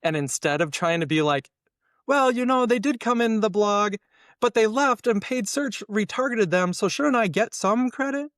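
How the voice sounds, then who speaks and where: Higher-pitched man talking shop casually. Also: normal speed, no room sound, clean studio sound. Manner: animated explainer who acts out hypothetical dialogue. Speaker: interview guest, SEO consultant. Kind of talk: casual, opinionated conversation.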